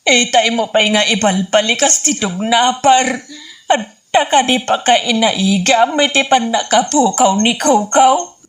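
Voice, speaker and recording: A woman's voice: fairly high pitch, measured pace, fairly bright tone. A woman acting as an upset, coaxing wife in an Ilocano radio comedy drama, with an Ilocano accent. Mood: distressed. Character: wife upset over missing dog, coaxing her husband.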